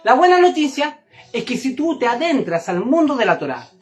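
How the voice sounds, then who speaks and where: A woman's voice: high-pitched, sing-song, moderate pace, soft background music. A woman giving a religious teaching, speaking directly to the listener in an emphatic, didactic way and repeating phrases for stress. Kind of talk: Torah and Kabbalah sermon, direct address to listener.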